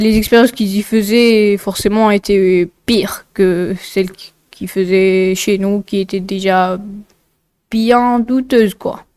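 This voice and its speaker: Female video narrator, informal and digressive, clear tone but nasally congested, recorded close and dry. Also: medium pitch, a little mellow, no room sound. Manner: informal, rambling, often digressing. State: congested, blocked nose. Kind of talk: casual explainer narration with asides.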